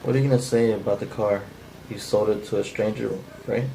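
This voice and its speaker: Man acting menacing bully, dark gravelly voice, even flat intonation, amateur table read. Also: lowish voice, a little fast, poor audio. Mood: threatening. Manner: flat, unpolished amateur reading. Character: menacing, bullying man demanding car keys. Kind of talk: cold table read of a film script. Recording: faint background noise.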